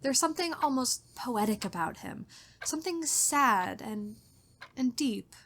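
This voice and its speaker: High-voiced, gushing, romantic spinster. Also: female voice, animated, unhurried, fairly bright tone, heavily acted. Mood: smitten. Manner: breathless, gushing, girlish. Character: shy, excitable, romantic forty-year-old spinster. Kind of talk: 1940s radio acting, diary narration and dialogue. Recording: noisy old radio drama recording.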